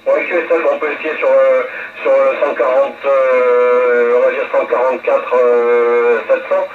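A man's voice: medium pitch, little pitch movement, measured pace, background noise. A man chatting casually as an amateur radio operator, heard through a radio receiver, conversational and tagging his phrases. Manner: conversational, often tags phrases with "hein". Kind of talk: casual radio contact chat with call signs. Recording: thin narrowband radio transmission over speaker.

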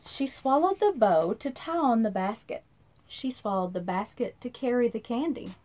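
A woman in her thirties reading a rhyming storybook aloud to children in an expressive, sing-song way, recorded in a room at about arm's length from the device. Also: a little high, moderate pace. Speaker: children's read-aloud host. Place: small indoor room.

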